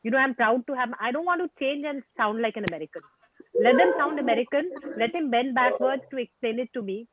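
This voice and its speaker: A woman's voice: sits high, fast, slight background noise. A woman from India with a Bengali accent, a guest speaker giving frank, emphatic advice on a video call. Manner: frank, emphatic, repeats phrases. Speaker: guest speaker on communication skills. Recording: tinny phone-like call audio, dry.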